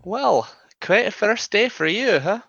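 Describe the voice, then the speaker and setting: A man's voice: sits high, lively intonation, a little slow, vocal fry, a bit bright, husky, exaggerated voice. A man playing an easygoing, awkward bartender who talks casually and hesitantly in a radio comedy, on a dry, dull recording. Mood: friendly, awkward. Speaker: actor in a comedy radio drama. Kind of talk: naturalistic radio comedy-drama acting.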